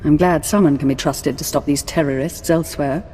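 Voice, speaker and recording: An actress playing a commanding, seductive Sith lord in a video game, speaking in a measured, imperious way. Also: female voice, sits low, fairly even intonation, warm tone, a little hiss or hum, lightly acted. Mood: imperious, satisfied. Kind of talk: dramatic video game acting. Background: background music.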